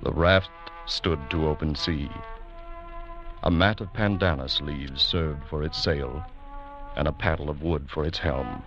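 A man narrating an old radio adventure drama in a grave, deliberate, portentous manner. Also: extremely low pitch. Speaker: narrator and announcer. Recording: dull old radio recording, music behind. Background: dramatic music.

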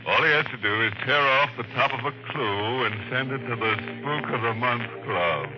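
An actor playing a macabre, tongue-in-cheek horror host, theatrical and drawn-out, in the style of 1940s radio. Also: male voice, medium pitch, lightly acted. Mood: gleefully macabre. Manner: theatrical, drawn-out delivery with morbid jokes. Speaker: radio mystery show host. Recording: muffled, noisy 1940s radio broadcast.

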